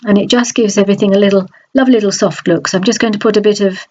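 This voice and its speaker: A woman with a stuffy, nasal voice from a cold, chatting in a relaxed voice-over about a craft project, with many small restarts. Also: medium pitch, rapid-fire. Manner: chatty, hesitant, frequent restarts. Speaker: scrapbooking crafter. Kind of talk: process video voice-over.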